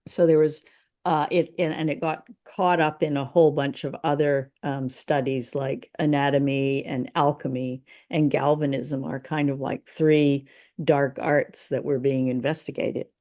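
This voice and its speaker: A woman co-hosting a podcast, explaining things in casual discussion and thinking aloud in a halting way. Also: sits low, level tone, dark tone. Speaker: podcast co-host.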